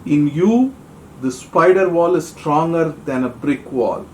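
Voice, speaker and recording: A man acts out a devout soldier in a puppet show, trapped and praying. His voice sits a little high and moves very slowly, with halting dramatic delivery. The recording is noisy. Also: lively intonation, roomy sound. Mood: grateful. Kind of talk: puppet show story acting.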